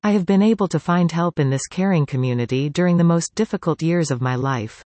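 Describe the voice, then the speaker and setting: A woman in her forties from Canada reading a personal essay aloud, with careful, clearly enunciated speech. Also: sits low, brisk, warm tone, no room sound. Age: 40s.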